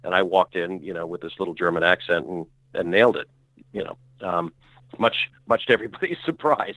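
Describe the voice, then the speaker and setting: Bright-toned middle-aged man telling stories. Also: low-pitched, fairly quick, no room sound, poor audio. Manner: rambling, self-correcting, with frequent fillers. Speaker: actor guest. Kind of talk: relaxed conversational storytelling.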